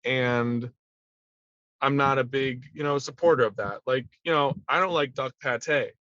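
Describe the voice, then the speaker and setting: A young male teacher talks as a podcast guest in a medium-pitched, animated voice, slipping into little acted-out quotes as he makes his points. His audio comes through slightly dull and completely dry, as over a remote call. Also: a little slow, no room sound. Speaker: middle school teacher, guest. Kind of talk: casual conversational interview.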